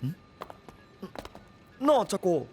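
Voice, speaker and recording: Simple-hearted young hero, fairly high pitch, plain-spoken, earnest, audio drama, faint music. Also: male voice, big pitch swings, slow pace, exaggerated voice. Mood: naive, curious. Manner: blunt, naive, boyish casual speech. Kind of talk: anime-style audio drama acting.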